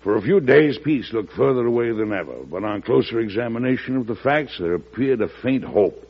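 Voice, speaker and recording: An actor with an American accent playing a shrewd, genial, avuncular president in an old-time radio drama, in a deliberate storytelling manner. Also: male voice, mid-range voice, animated, slightly rough. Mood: measured. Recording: dull lo-fi vintage radio broadcast.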